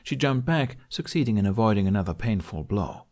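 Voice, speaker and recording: A man's voice: fairly low pitch, lively intonation, brisk, darkish tone. A man reading scripted fantasy fiction as an audiobook narrator.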